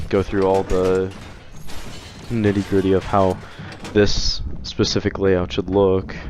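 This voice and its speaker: A young man with a hoarse voice from being sick, rambling through a casual opinion vlog with frequent restarts. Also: deep voice, darkish tone, slight background noise. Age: young adult. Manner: rambling, hesitant, many restarts. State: hoarse from illness. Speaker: video game commentator.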